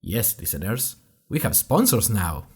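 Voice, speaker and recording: A man's voice: on the low side, big pitch swings, unhurried, a little mellow, husky. Man narrating fiction with wry asides. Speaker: podcast narrator. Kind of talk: scripted first-person fiction narration.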